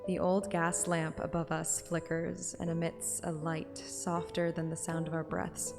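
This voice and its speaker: A woman's voice: on the low side, flat delivery, measured pace, gravelly. A woman reading a game story aloud as a streamer, moving between first-person audiobook narration and casual asides. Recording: music behind the voice.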